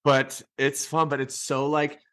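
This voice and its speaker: A man's voice: medium pitch, completely flat delivery, a little slow, a bit bright. Young guy podcast co-host, easygoing and jokey, self-deprecating, chats loosely. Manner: laid-back, laughing, self-deprecating. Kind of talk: casual chat.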